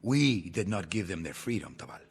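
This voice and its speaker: An actor playing a paranoid, grandiose revolutionary leader in a mid-century television drama. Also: male voice, deep voice, sing-song, brisk, slightly rough, exaggerated voice. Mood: scornful. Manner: grandiose, theatrical, commanding. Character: paranoid, imperious male revolutionary leader turned ruler. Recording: old TV soundtrack, reverberant, music behind.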